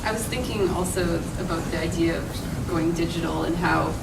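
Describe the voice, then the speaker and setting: A woman with a low, gravelly voice moderates an art panel. Her voice echoes in the room. She is picked up from a distance, with noise in the background. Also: normal speed. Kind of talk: panel discussion. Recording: roomy, distant, noisy.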